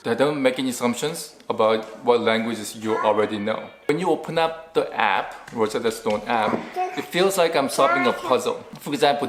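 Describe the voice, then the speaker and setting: Man chatting in a roomy, echoey space. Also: mid-range voice. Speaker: vlog co-host, father. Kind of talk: casual couple chat with sponsor pitch. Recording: roomy echo, faint background noise.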